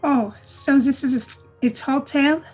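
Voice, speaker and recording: A young daughter-in-law , played by a woman, with a high voice and a gentle, curious way of talking. She speaks in natural dramatic dialogue over faint music. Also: a little slow. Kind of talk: natural dramatic dialogue in a script table read.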